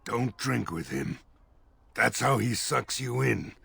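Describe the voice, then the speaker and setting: An actor voicing a weary, gruff village mayor in a video game, blunt and grumbling, with a gravelly, husky voice. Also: male voice, medium pitch, expressive, takes it slowly, fairly bright tone, no room sound, exaggerated voice. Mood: wry, grumbling.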